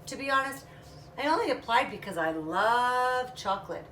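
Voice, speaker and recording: A woman's voice: fairly high pitch, lively intonation, normal speed, fairly bright tone, echoey room, far from the mic, slight background noise, voice pushed up. A woman voicing a big, clumsy, earnest male moose in a storybook reading. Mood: sad, apologetic.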